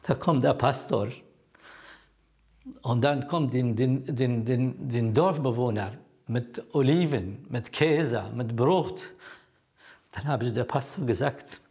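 A man in his sixties, a native Kurdish speaker from Iraq, who talks in halting, earnest German while being interviewed as a refugee counselor. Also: medium pitch, darkish tone. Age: 60s. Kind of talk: documentary interview.

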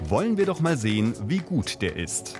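A man's voice: medium pitch, sing-song, normal speed, music in the background. A man narrating a consumer documentary in a matter-of-fact scripted voice.

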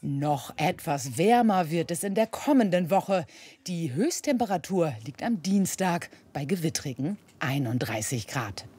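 Low-voiced, very animated woman narrator. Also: a little slow, slightly rough. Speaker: weather segment narrator. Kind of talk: scripted light feature narration.

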